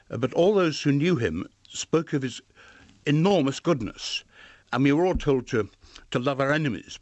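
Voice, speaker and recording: A man's voice: medium pitch, no room sound. An older man, talking as an interview guest. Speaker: interview guest, former newspaper editor. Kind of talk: interview talk.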